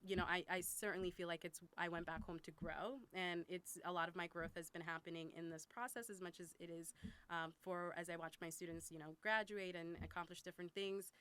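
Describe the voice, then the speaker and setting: A woman's voice: lowish voice, steady tone, fairly quick, a bit bright, clear, resonant tone. A woman in her thirties giving reflective, thoughtful answers as an interview guest, circling around her phrases. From born in Russia, raised in Thailand and the US. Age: 30s. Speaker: podcast guest, school worker and advocate. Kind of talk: reflective personal interview talk.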